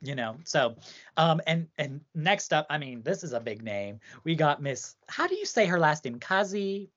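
Woman, low voice, loose rambling co-host banter, muffled lo-fi sound. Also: big pitch swings, normal speed. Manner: chatty, rambling, heavy use of "like". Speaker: podcast co-host. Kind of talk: casual chat about song contests.

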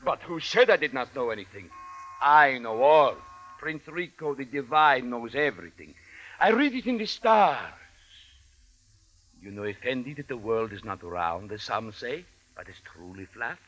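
Man playing a pompous, sharp-voiced phony mystic prince. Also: medium pitch, expressive, moderate pace, close to an everyday voice, low-quality recording. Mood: grandiose. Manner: grandiose, formal, theatrical declamation. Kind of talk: 1950s-style radio drama acting. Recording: vintage radio recording.

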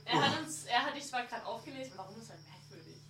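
A woman's voice: on the high side, lively intonation, quick pace. A woman chatting casually with friends as a player in a tabletop role-playing game. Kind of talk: casual banter among friends. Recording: echoey distant mic, loud chatter behind.

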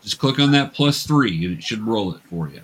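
A man's voice: fairly low pitch, expressive, fairly quick, a bit bright, slightly rough. A man acting as game master, casually narrating and patiently explaining the game to new players in an encouraging way.

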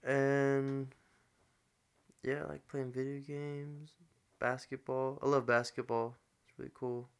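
A young man in his twenties with a clean, smooth voice at a middle pitch chats on a home podcast. He is easygoing and quick to laugh. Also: little pitch movement. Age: 20s. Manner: easygoing, giggly. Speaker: podcast co-host. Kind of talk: casual chat.

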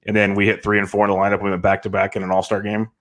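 This is a man in his thirties from California who talks in a relaxed, rambling way. He laughs often and has a somewhat low voice. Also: very fast. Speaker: podcast guest. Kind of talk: casual podcast chat.

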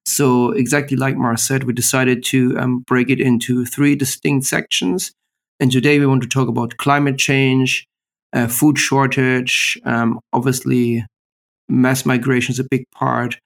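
Gravelly-voiced man hosting a podcast. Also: medium pitch, little pitch movement, a little slow. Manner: upbeat, summarizing for listeners. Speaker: podcast host. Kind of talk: casual interview chat. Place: remote call. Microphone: video call.

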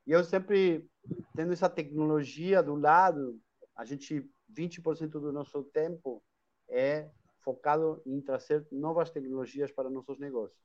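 A man's voice: on the high side, fairly even intonation, unhurried, darkish tone. Argentine guy chatting as a guest on a live stream, restarts a lot, kinda dull lo-fi sound. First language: Spanish. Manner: talkative, with frequent restarts and tag questions. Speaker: guest, hostel chain owner. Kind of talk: live online interview.

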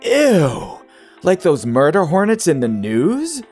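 An actor playing a fretful, gossipy office assistant in a satirical audio drama, anxious in manner. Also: male voice, fairly high pitch, wildly swooping pitch, slow, darkish tone, faint music behind, heavily acted. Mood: squeamish. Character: nervous, fretful office assistant named Franklin.

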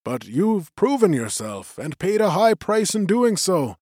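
Narrator doing an old wise magician, measured and kindly, pitched up and a bit louder than his narration, dry close sound. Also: very animated, no echo, exaggerated voice. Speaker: elder magician leading a council.

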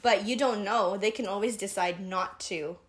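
A woman's voice: mid-range voice, normal speed. A woman in her twenties co-hosting a podcast, talking casually with many fillers and restarts. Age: 20s. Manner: chatty, lots of fillers and restarts. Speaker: podcast co-host. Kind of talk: casual chat.

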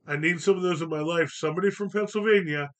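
A man's voice: a little high, quick pace. A man hosting a podcast, leading a casual, jokey chat with friends. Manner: jokey and upbeat, leads the talk. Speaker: podcast host.

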